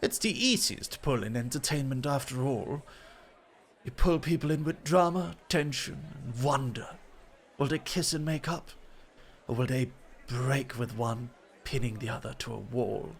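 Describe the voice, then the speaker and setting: A man's voice: mid-range voice, a little slow, creaky. A man playing a flirty, teasing club host and rival, theatrically polite and suggestive, in an ASMR roleplay. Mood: teasing, confiding.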